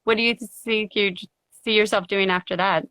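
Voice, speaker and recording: A woman's voice: mid-range voice, steady tone, moderate pace, bright, forceful tone, dry recording. A woman hosting a live video interview, asking questions in a supportive way with a few hesitant restarts. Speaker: interview host. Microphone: video call.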